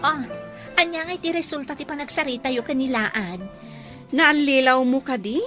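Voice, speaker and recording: A woman playing a conspiring young friend in an Ilocano radio drama, speaking with a deliberate, plotting manner. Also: sits high, bright, forceful tone, soft background music. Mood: eager, curious.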